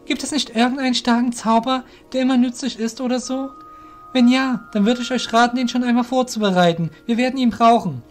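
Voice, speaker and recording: A man's voice: very high-pitched, fairly even intonation, fairly quick, faint music behind, pitched above the performer's own voice. A man voicing an earnest, respectful, somewhat hesitant young shadow guild apprentice in a fantasy audio drama. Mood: urgent, unsure.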